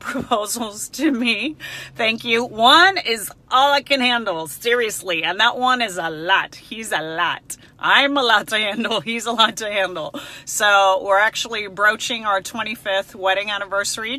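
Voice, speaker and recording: A woman's voice: mid-range voice, sharp tone. A middle-aged woman, a native Spanish speaker, chatting casually and frankly as a counselor answering viewers on a live stream. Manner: chatty, personable, emphatic, frank.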